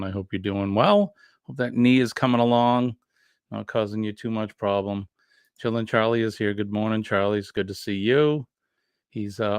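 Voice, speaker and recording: A man's voice: fairly low pitch, clean tone, no room sound, clean studio sound. A man hosting a casual morning livestream, greeting viewers in an easygoing, joking way with many fillers. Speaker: livestream host.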